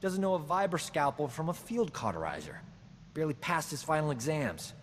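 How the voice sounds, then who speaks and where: A man's voice: fairly high pitch, lively intonation, brisk, in a large hall, slight background noise, natural voice. A man voicing a roguish, boastful male doctor who talks his way out of everything, in a video game. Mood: indignant. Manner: boastful, glib, self-satisfied. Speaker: companion character.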